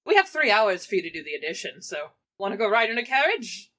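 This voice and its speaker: A woman chats playfully through a roleplaying game. Her voice sits at a middle pitch with a bright, forward tone, and her intonation moves around a lot. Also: big pitch swings, fast. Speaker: tabletop game player.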